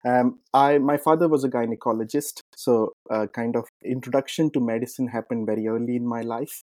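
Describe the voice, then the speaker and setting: A man from India, a clinician answering interview questions in a measured way, with frequent ums and uhs. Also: mid-range voice, steady tone, dark tone, no room sound. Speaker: clinician guest.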